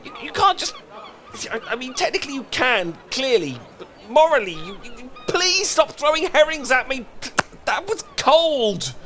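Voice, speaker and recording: A British man acting a flustered, emotionally repressed and lovesick best friend in a comedic romantic audio roleplay, stammering and pleading in halting phrases. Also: very high voice, wildly swooping pitch, sharp tone, a little hiss or hum, full character voice. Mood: panicked pleading.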